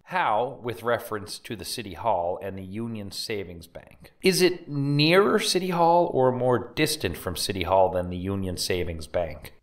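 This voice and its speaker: A man's voice: fairly low pitch, expressive, recorded from a distance, close to an everyday voice. A man playing a formal, probing 1890s prosecuting lawyer questioning witnesses in a dramatic trial reading. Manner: formal, inquisitive, measured.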